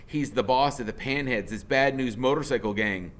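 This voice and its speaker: A man narrating a first-person story aloud in an expressive, dramatic way. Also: mid-range voice, moderate pace. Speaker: audiobook reader, teacher.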